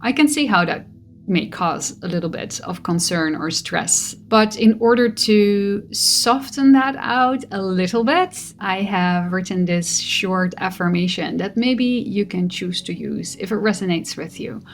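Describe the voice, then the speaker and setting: Slow, gentle woman. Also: mid-range voice, a little hiss or hum. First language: Dutch. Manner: gentle, musing, with soft laughs. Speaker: spiritual vlogger. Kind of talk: reflective personal monologue.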